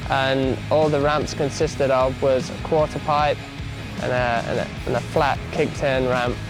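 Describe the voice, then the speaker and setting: A man's voice: medium pitch, little pitch movement, unhurried, low-quality recording. A man who rides bikes, talking casually as he gives an interview. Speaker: BMX rider being interviewed. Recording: music behind the voice.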